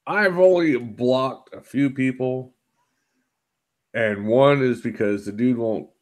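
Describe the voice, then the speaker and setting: A man's voice: medium pitch, slow pace. A man chatting casually in a live stream group call, rambling and joking and swearing freely.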